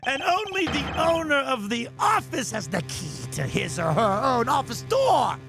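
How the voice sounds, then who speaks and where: A man acting out an overwrought male prosecutor in a video game, music behind him. His voice is pushed high, theatrical with grief and accusation. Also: very animated, unhurried, gravelly, exaggerated voice. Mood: accusing. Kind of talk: video game dub played live.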